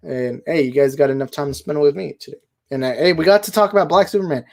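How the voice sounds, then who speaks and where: A man hosting a casual live movie chat, his voice hoarse and worn out, rambling and correcting himself as he goes. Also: on the high side, fast. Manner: rambling, self-correcting, laughs easily. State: hoarse, tired voice. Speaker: livestream host.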